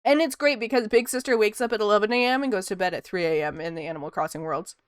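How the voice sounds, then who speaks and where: Chatty woman with a soothing, smooth voice. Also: medium pitch, fast, no echo, clean studio sound. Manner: rambling and chatty, laughs often. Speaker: art streamer. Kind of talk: casual chat while drawing on stream.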